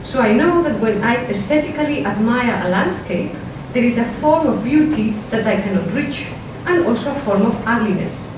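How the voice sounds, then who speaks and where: A woman philosopher with a strong Spanish accent giving a workshop talk, careful and measured, with hesitant fillers when she speaks off the script. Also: a little high, expressive. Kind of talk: academic lecture, partly read from a script. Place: lecture room. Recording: echoey lecture hall, mic from a distance, noisy.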